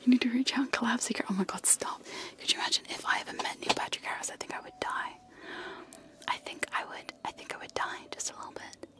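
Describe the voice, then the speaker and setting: A woman's voice: on the high side, fairly quick. A young woman whispering close to sensitive microphones in a casual, giggly ASMR chat with trigger sounds, often poking fun at herself. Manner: soft whisper, giggly and self-deprecating. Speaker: ASMR streamer.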